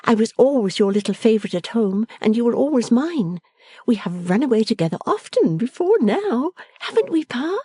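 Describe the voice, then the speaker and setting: A woman's voice: on the high side, sing-song, normal speed, mellow, slightly rough, dry recording, studio quality, voice pushed up. A woman reading the part of a playful, affectionate young woman who teases and orders her father about. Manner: teasing, commanding, merry.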